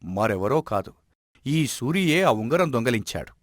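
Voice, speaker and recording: A man playing a wise, respected village elder in an animated moral story, giving advice in a measured, admonishing way. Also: medium pitch, no room sound, studio quality. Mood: assured.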